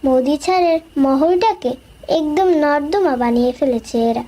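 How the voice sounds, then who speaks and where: A woman's voice: high voice, lively intonation, moderate pace, mellow. A woman voicing an obedient young female maid with a deferential manner in a comic cartoon. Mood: dutiful.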